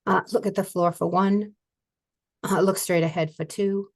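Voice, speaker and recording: Calm older woman guiding tapping. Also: mid-range voice, breathy edge. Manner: slow, calm, repeats phrases for client to echo. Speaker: EFT tapping practitioner. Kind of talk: guided therapy session. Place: home office. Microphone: video call.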